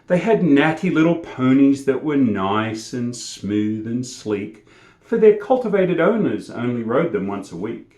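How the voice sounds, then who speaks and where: A man reciting and presenting poetry in an energetic, theatrical storytelling manner. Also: medium pitch, measured pace. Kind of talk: expressive poetry recitation with casual introduction. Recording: echoey room.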